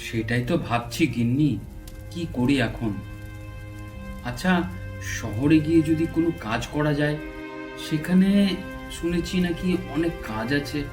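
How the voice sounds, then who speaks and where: A man's voice: fairly high pitch, measured pace, pauses often, over music, close to an everyday voice. A man playing a careworn, well-meaning old village husband and father in a cartoon folk tale, earnest and plaintive. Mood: troubled.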